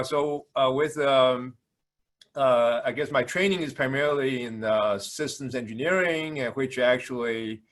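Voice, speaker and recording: Halting older man with a Taiwanese accent. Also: medium pitch, a bit bright. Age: 60s. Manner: halting, frequent hesitations and repetitions. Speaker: university administrator introducing himself. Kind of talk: informal introductory speech. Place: video call.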